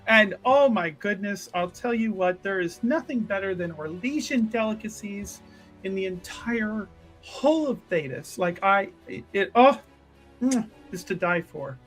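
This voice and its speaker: A woman's voice: medium pitch, animated, a little slow, faint music behind, natural voice, poor audio. A woman playing an effusive, pious church sister in a tabletop game, gushing theatrically over small luxuries. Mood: gushing delight.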